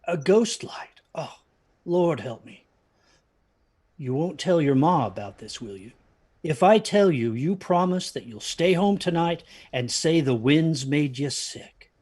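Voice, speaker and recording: A man playing an uneasy parent in an audio drama, speaking in a halting, ominous, husky way that drops toward whispers. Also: on the high side, expressive, darkish tone. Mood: secretive, haunted. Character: uneasy parent warning children about haunted theaters.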